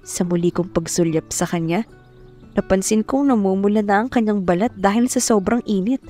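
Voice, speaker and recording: A woman acts as a modest young farm worker telling her story in a radio romance. She is earnest, polite and a little hesitant, with a mid-range voice over soft music. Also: medium pitch, moderate pace, close to an everyday voice. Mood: earnest, reflective. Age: young adult. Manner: polite, hesitant when addressing others. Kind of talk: first-person radio drama narration and dialogue.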